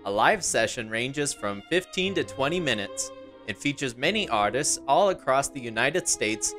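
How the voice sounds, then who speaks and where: A man playing a chipper, folksy dad making a sales pitch in a scripted radio ad. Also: expressive, normal speed, fairly bright tone, soft background music, natural voice. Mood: upbeat, pitching. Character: chipper dad pitching to his young son.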